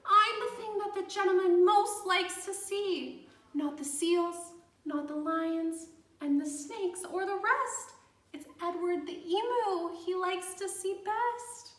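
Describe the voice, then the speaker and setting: A woman's voice: extremely high pitch, steady tone, slow pace, fairly bright tone, voice pushed up, exaggerated voice. A woman voicing an eager, easily delighted male emu in a children's storybook, exclaiming with wonder and boastfulness. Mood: amazed, delighted. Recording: echoey room, distant microphone.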